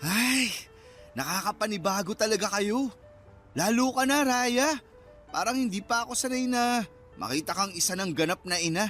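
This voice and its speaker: A man's voice: high voice, lively intonation, moderate pace, fairly bright tone, faint music behind. An actor playing a young Filipino man in a radio drama, a teasing best friend who banters in slang and acts mock-offended.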